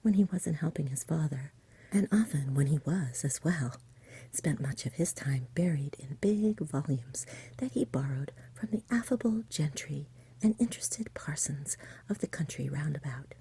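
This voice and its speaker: Breathy, whispering woman reading a bedtime story. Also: sits low, lively intonation, moderate pace, warm tone. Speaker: ASMR reader and storyteller.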